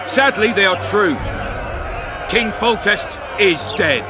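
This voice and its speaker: An actor playing a brash, scornful town commandant in a video game, coarse and menacing. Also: male voice, high-pitched, over music, a crowd in the background. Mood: declamatory. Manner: barking, scornful, slow deliberate delivery.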